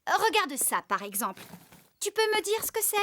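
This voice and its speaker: Very high-pitched cartoon farm woman. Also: big pitch swings, normal speed, bright, forceful tone, a little hiss or hum, heavily acted. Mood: bossy, exasperated. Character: bossy, tidy, caring young woman farmer. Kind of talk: French children's cartoon dubbing.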